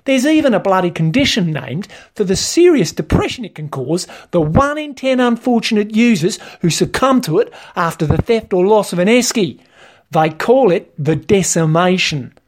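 A narrator acts out a brash Australian man from a comic storybook. He is matey but bossy and blunt, sweary and full of slang, and his pitch moves around in a lively way. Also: moderate pace. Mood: hectoring.

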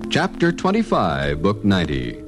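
Low american radio announcer guy, old serial narration, melodic delivery, organ playing behind. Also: huge pitch swings, normal speed.

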